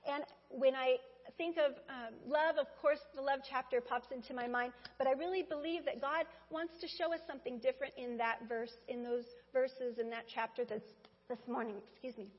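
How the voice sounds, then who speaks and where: A woman's voice: high voice, fairly even intonation, moderate pace. A woman preaching a Mother's Day sermon in a church, talking conversationally and speaking straight to the congregation. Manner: conversational, addresses congregation directly. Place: church sanctuary. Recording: dull sound with long hall reverb.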